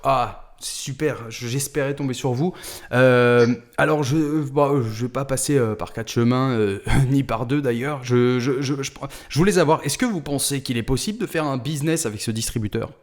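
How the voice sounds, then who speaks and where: A man's voice: mid-range voice, normal speed. A man hosting prank calls in casual, slangy, rambling speech. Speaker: prank caller and video host. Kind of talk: casual prank-call chat and commentary.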